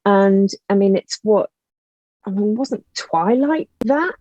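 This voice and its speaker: Woman, emphatic, conversational, mellow tone, slightly dull lo-fi call audio. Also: mid-range voice, lively intonation, slow pace, no echo. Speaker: podcast guest, audio drama writer and actor. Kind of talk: conversational interview opinion.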